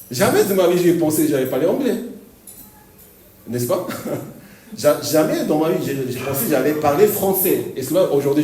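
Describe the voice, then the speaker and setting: Pastor preaching in an echoey hall. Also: male voice, on the high side, dark tone, a little hiss or hum. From Argentina. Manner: halting, repeats words, laughs often. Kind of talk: sermon. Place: church hall. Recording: echoey hall, distant mic.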